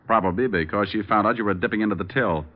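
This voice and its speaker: A man's voice: lowish voice, quick pace, close to an everyday voice. A man acting a wisecracking, unflappable private detective in an old-time radio crime drama, with wry, flippant delivery. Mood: cool, assured. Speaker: lead actor and narrator. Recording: dull vintage radio recording.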